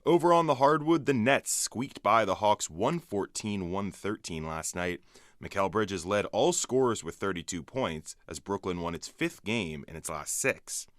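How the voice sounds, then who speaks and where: A man's voice: on the low side, animated, a little slow, a bit bright, no echo, studio quality. A man anchoring a radio sports update in a scripted broadcast delivery.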